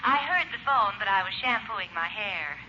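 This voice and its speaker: An actress playing a coy, flirtatious sweetheart with a honeyed drawn-out delivery in a 1950s radio comedy phone call. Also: female voice, mid-range voice, expressive, sharp tone. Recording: muffled, slightly noisy old radio phone voice.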